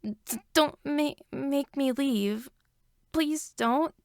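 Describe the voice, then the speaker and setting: A frightened little boy in a story reading, acted by a woman, pleads in a raised voice. His words come slowly and haltingly, with stammers, over a close dry sound. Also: high voice, vocal fry, darkish tone, no echo, pitched above the performer's own voice, heavily acted. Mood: tearful pleading.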